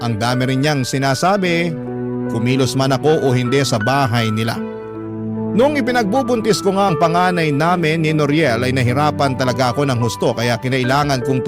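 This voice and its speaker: A man with a Filipino accent reading a letter as dramatic, scripted radio storytelling. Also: medium pitch. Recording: prominent music bed under voice. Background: music.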